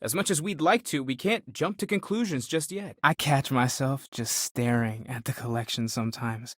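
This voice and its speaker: A man's voice: mid-range voice, normal speed, a bit bright, no echo, clean studio sound, close to an everyday voice. A young man acting an earnest, curious, spiritually searching space explorer in a video game, thoughtful and sincere in his delivery. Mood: earnest, wondering.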